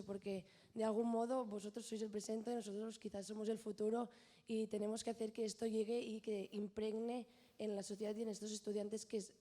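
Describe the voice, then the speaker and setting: A young woman from a student association, making measured, slightly hesitant remarks at an assembly. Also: medium pitch, dark tone. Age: young adult.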